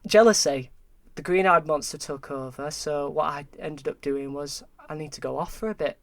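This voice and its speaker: Halting young man, dry sound. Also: fairly high pitch, steady tone, vocal fry, no room sound. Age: early 20s. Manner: halting, repeats words, open about struggles. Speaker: podcast guest, film graduate. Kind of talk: candid conversation.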